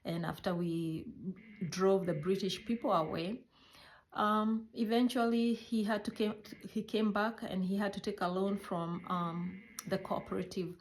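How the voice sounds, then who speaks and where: A middle-aged woman with a Kenyan accent telling personal stories in a halting, reflective way, searching for words; English is not her first language. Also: mid-range voice, fairly even intonation, a little hiss or hum, poor audio. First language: a Kenyan mother tongue. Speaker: healthcare worker recounting her life. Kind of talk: personal storytelling.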